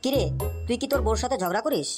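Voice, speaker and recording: A woman voicing a weary, henpecked cartoon husband with deadpan comic delivery. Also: fairly high pitch, quick pace, over music, natural voice. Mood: curious.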